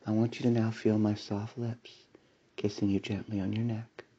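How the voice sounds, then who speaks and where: A man delivering a slow hypnotic induction in a soft, soothing voice with breathy whispers and a repetitive commanding cadence. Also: on the low side, little pitch movement, lo-fi sound. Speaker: hypnosis audio creator.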